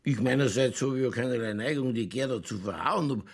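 A man playing a boozy, world-weary middle-aged police chief in a radio play, with a Lower Bavarian accent and a rambling, tipsy, cynically jovial delivery. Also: a little fast, breathy edge. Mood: tipsy, opinionated. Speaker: actor in a crime radio play. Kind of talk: naturalistic German radio drama dialogue.

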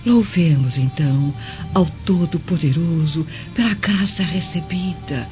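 A woman's voice: fairly low pitch, a little slow, mellow, natural voice. A humble, pious maidservant played by a woman in a radio drama. She speaks reverently and deferentially with a Brazilian accent, on a dull recording with some music behind.

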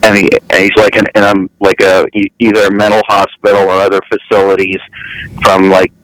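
Guest caller: man with a lowish voice, halting and self-deprecating, lots of restarts. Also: completely flat delivery, fairly bright tone, dry recording, rough recording. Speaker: guest, novelist. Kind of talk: casual remote chat. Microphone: calling in remotely, hard to hear others. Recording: radio talk show.

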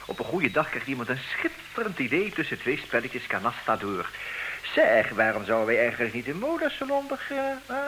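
An actor playing a suave, condescending, idle wealthy bachelor in a comedic radio play, with theatrical mock politeness. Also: male voice, medium pitch, sing-song, fairly quick, pushed and bright, low-quality recording. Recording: hissy old radio drama recording.

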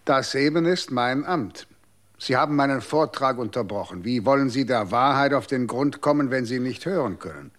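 An actor in a German radio play playing a formal, stern official who enforces a social contract. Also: male voice, mid-range voice, animated, moderate pace, a bit bright, lightly acted. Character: male official guarding a deadly social contract.

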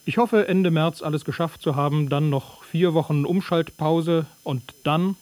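A man reading letters aloud in a radio production, plain and steady. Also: fairly high pitch, unhurried, dry recording. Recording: dry studio.

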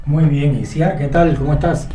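A Cuban man chats as a radio guest, easygoing and full of stories. His voice sits fairly high yet sounds mellow and dark. He is caught from a distance in a reverberant studio, with soft music behind him. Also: fairly even intonation, moderate pace. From Cuba. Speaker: guest, radio colleague and author. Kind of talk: casual radio interview chat. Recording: echoey studio, distant mic, faint music.

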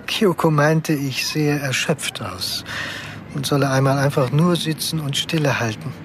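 An actor playing a weary, self-deprecating office worker in his fifties, speaking with resigned pauses in a radio drama. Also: fairly high pitch, noisy background, natural voice. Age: 50s. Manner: deliberate, pausing, resigned.

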